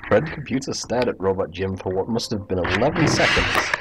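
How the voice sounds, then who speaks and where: A man's voice: on the low side, lively intonation, brisk. A man narrating a comic improvised story while gaming, with a playfully dramatic delivery. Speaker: storyteller and game player. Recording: hobby internet recording with clear noise.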